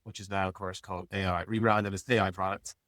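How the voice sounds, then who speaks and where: A man's voice: low voice, level tone, quick pace, a bit bright, no room sound, studio quality. A man speaking as an interview guest in a casual, plain-spoken way, like an executive talking informally about work. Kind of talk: casual conversational interview answers.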